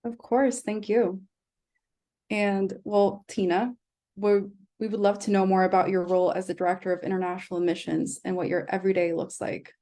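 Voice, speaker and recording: A woman's voice: mid-range voice, little pitch movement, moderate pace, mellow. A woman from El Salvador moderating a live online session, reading upbeat scripted introductions. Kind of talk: live webinar hosting.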